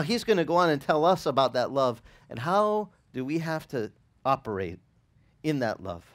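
A man in his forties, a pastor who grew up speaking Italian, teaching a casual sermon outdoors on a beach with a headset mic, asking his listeners questions as he goes. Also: mid-range voice. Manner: animated, conversational, asks the listeners questions.

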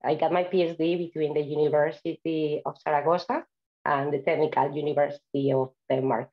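A woman's voice: deep voice, fairly even intonation, fairly quick, highs cut off. A woman from Spain with a Spanish accent gives an online seminar talk over a video call, chatty and friendly, with frequent "uh" fillers. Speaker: invited speaker.

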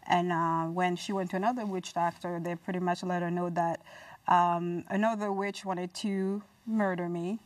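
A woman's voice: on the low side, fairly even intonation, normal speed, no room sound, far from the mic, poor audio. A woman in her thirties or forties with a Haitian accent, giving personal testimony as a talk show guest. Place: small dark TV studio, indoors.

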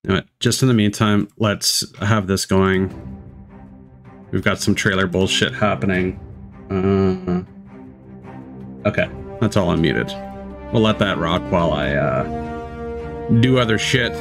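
Very deep-voiced, slow, gravelly man streaming. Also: music in the background. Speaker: livestreamer. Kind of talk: casual livestream chat and commentary.

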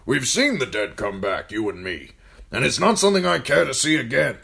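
A man voicing a gruff older military commander in an audiobook, talking bluntly and firing off needling questions. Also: medium pitch, very animated, a little fast, a bit bright, pitched above the performer's own voice. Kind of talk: audiobook character voice.

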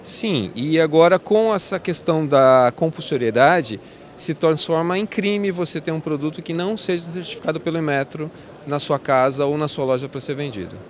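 A Brazilian man in his forties with a fairly high voice talks up his products like a salesman. He speaks into a handheld mic in a big indoor exhibition hall. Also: moderate pace. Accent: Brazilian Portuguese. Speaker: company representative. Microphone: host's handheld mic.

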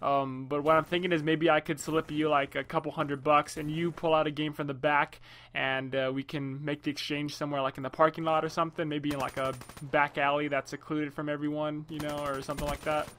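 A man's voice: fairly high pitch, level tone, fairly quick, a bit bright. A young man making casual prank calls, rambling and pleading in colloquial slang. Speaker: prank caller and video host. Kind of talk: casual prank phone chat.

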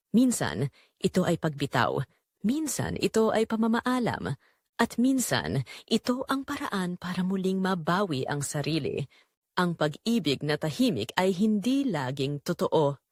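A woman narrating a scripted dramatic story in a solemn, deliberate, emotive way. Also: mid-range voice, dry recording, clean studio sound.

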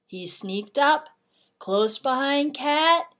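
Lady doing kids read aloud, bright high voice, really expressive, takes her time. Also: drawn-out words. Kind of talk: expressive children's storybook narration.

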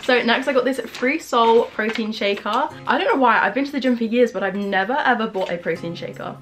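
A woman's voice: a little high, a little fast, over music. A young woman chatting casually to camera at home, bubbly and gushing, with lots of "like". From England. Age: young adult. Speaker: lifestyle vlogger. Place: small home bedroom and kitchen.

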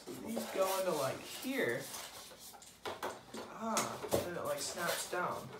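A teenage boy hosting a casual unboxing video in a living room, slowly talking through each step as he assembles a toy. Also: high-pitched, big pitch swings, heavy background noise. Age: teens. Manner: slow, halting, describes each step aloud. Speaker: unboxing host. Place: living room, seated at a table. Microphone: camera across the table. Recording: roomy, camera some distance off.

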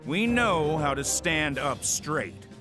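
A man's voice: a little high, big pitch swings, takes it slowly, music in the background. A man voicing a proud young colonial army captain who talks bluntly and indignantly.

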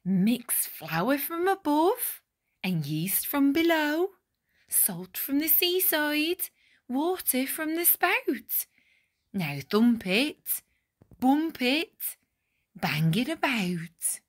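Woman playing an excitable, high-pitched village baker. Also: drawn-out words, exaggerated voice. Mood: bossy, brisk. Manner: exclamatory, commanding. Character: excitable village baker man, loud and bossy.

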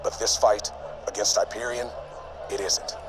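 An actor playing an earnest, resolute military leader with a commanding, solemn way of speaking, in a video game. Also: male voice, on the low side, steady tone, a little slow, background noise, lightly acted. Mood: solemn, urgent. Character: earnest, resolute male military resistance leader rallying soldiers. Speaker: game character. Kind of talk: scripted speech in a video game audio log. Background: music.

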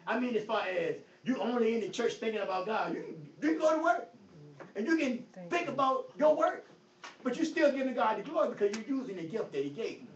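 A man with a high voice preaches at a Bible study, his volume swinging from loud bursts to quiet. His comes through with a stutter. The phone sits far from him in an echoey room, so the sound is dull and faint, with a little hiss. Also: very animated, brisk. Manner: preachy, emphatic, rises and drops in volume; says he stutters. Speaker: pastor. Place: church hall. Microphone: phone across the room. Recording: echoey, distant, lo-fi phone recording.

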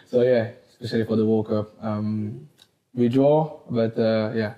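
A young man with a French accent, a footballer answering interview questions in halting, simple English with easy laughs, on a lapel mic in a small wood-panelled room. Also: on the low side, steady tone, highs cut off. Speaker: footballer guest. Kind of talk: casual interview.

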